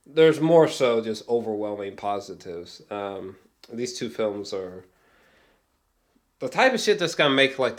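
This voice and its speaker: Slow-paced, awed man rambling about films. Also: medium pitch. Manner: hesitant, trailing off, swears casually; awed, geeky enthusiasm. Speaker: film reviewer. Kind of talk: casual rambling film review to viewers.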